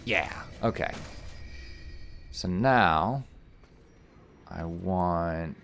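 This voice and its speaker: A man, a little hoarse, casually commentating a video game and explaining puzzles step by step with jokes. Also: low-pitched, extremely slow, a bit bright, soft background music. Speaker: let's play commentator.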